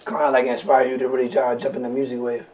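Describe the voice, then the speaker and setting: A man with a worn, raspy voice chatting casually and talkatively in slang as a podcast host. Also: medium pitch, little pitch movement, racing, a little mellow. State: voice worn from smoking and studio work. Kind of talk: casual chat.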